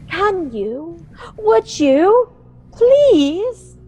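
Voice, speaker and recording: Woman acting a high-voiced, genteel pleading widow. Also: very animated, very slow, dark tone, heavily acted. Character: genteel, coyly pleading widow with a fragile air. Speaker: actor in audio drama. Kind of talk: old-time radio mystery acting.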